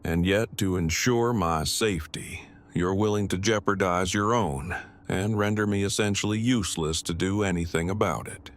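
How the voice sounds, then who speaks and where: Guy acting a worried formal survivor dude, lowish voice, stiff careful wording, earnest, audio drama. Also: moderate pace, natural voice. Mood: troubled, earnest. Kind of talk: full-cast fan audio drama acting.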